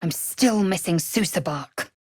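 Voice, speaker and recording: An actress playing a fierce, proud young female alien warrior in a video game, with a husky, raspy voice and a clipped, haughty, formal manner. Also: fairly low pitch, expressive, unhurried, dry recording, studio quality. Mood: musing. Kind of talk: video game character voice acting.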